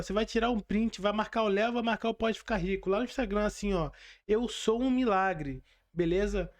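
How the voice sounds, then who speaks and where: A Brazilian man speaking as a podcast host, casual, colloquial and effusive. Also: high-pitched.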